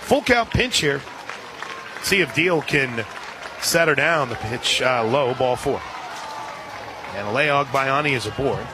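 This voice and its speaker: A man calling softball play-by-play on the radio, dropping into casual banter between pitches. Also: expressive, a little slow, long pauses. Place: outdoor softball stadium broadcast position. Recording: outdoor stadium crowd and ambient noise. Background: crowd noise.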